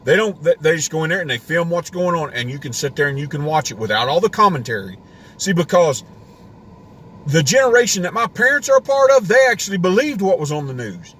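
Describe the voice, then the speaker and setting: A man's voice: fairly high pitch, very animated, a little fast, fairly bright tone, slightly rough. Man ranting on a live stream. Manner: ranting, rambling with restarts. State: voice getting worn. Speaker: homesteading live stream host. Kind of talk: casual live stream rant. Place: inside a parked car.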